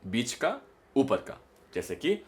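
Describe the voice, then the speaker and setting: A man's voice: medium pitch, sing-song. A young man teaching voice modulation in a casual spoken lesson, explaining exercises patiently and demonstrating them himself. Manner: slow, explanatory, repeats a line on rising notes. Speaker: voice coach.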